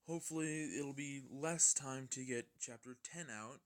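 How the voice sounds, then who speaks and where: A man with a mid-pitched voice narrates a fan-written story. His reading is amateur, and he tends to trip over words and go back to read them again. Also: normal speed. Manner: stumbling over words, often rereading. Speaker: fan fiction reader.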